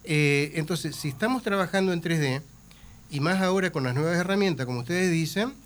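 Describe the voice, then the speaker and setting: A man's voice: on the high side, fairly quick, a little hiss or hum. A man with an Argentine Spanish accent and a flu, talking as an advertising guest in a rambling way with many fillers. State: has the flu. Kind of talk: casual radio chat.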